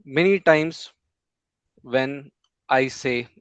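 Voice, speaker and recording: A man, a Hindi speaker, giving an impromptu speech at a speaking club in a slow, measured way with pauses between phrases. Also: medium pitch, smooth, no echo. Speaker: table topics speaker. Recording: dull close mic, dry.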